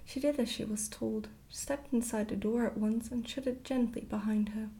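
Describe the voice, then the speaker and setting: A woman gently reading a storybook aloud in a hushed, whispered ASMR style. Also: fairly high pitch, fairly even intonation, normal speed, breathy edge. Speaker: storybook reader, ASMR creator.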